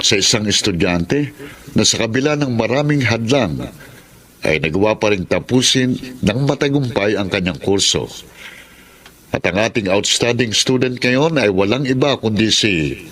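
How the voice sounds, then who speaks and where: An actor playing a kindly, formal college dean with a measured, avuncular manner in a radio drama. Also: male voice, lowish voice, close to an everyday voice. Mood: formal announcing.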